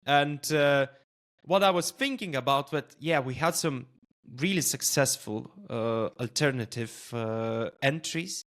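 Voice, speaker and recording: A man whose first language is Lithuanian hosts a casual podcast interview at a middle pitch. He talks thoughtfully and pauses often with filler sounds while he forms his questions. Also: fairly bright tone. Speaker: podcast host.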